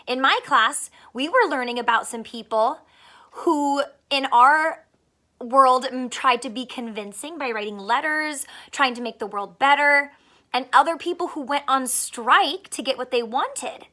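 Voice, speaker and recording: Woman teacher for children's read-aloud: high, bright voice, expressive, pauses to explain and ask simple questions.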